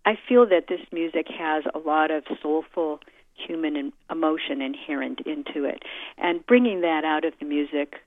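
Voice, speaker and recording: A middle-aged American woman giving reflective interview answers in a measured, deliberate way, pausing often as she thinks. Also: low-pitched, no echo. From United States. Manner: measured, deliberate, with frequent pauses. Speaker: interviewee, concert pianist. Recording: muffled narrow-band phone or radio-like sound.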